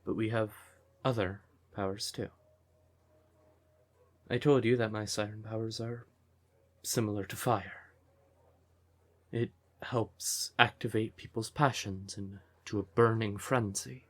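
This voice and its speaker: A man playing a devoted, emotional siren boyfriend in an intimate fantasy ASMR audio roleplay, with a breathy, tender voice. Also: fairly low pitch, steady tone. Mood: tender, intense. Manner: tender, unhurried, emotive, with pet names. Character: devoted male siren nightclub singer, affectionate boyfriend, easily exasperated.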